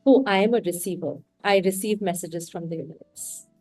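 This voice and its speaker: Warm-voiced Indian woman, reflective. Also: on the low side, fairly quick, no room sound, soft background music. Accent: Indian English. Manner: reflective and candid, talks through her thoughts. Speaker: podcast guest. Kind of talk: casual interview conversation.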